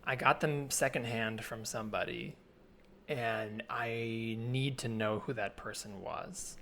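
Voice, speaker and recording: Male improviser as obsessive, sheepish favour-seeker. Bright, forceful tone, rambling hesitant delivery, faint ambience, comedy podcast sketch. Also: medium pitch, fairly even intonation, takes it slowly. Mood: sheepish, earnest. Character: sheepish, obsessive man begging an amateur forensic favour. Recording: remote recording with faint background noise.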